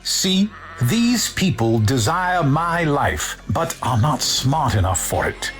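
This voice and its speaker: Pompous, haughty rich landowner, animated. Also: male voice, on the high side, slow, soft background music, exaggerated voice. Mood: haughty, indignant. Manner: pompous, disdainful. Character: haughty, greedy, self-important ancient Egyptian landowner. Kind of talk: audio drama acting.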